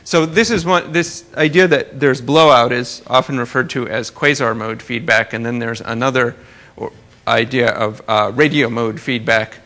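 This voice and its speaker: Bright-voiced man lecturing, with gravelly creak. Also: mid-range voice, moderate pace. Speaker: astrophysics researcher presenting at a conference. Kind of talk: academic lecture talk with slides.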